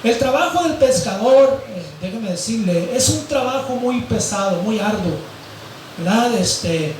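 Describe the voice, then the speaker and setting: A hoarse male pastor preaches in a rambling way, his fairly high voice worn thin. He sounds far from the microphone, and his words ring through a very echoey church hall. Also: lively intonation, measured pace, a little hiss or hum. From Mexico, grew up in Mazatlán. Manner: rambling, fills with "eh" and "¿verdad?". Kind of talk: sermon.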